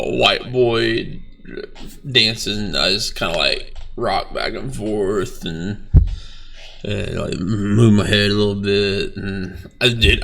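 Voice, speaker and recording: A young man, a bassist, talking casually about his band's video in a laid-back, rambling way with lots of fillers and some swearing. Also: vocal fry, bright, forceful tone, recorded from a distance. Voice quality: low voice. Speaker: bass player reacting to his band's video. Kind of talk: casual reaction vlog.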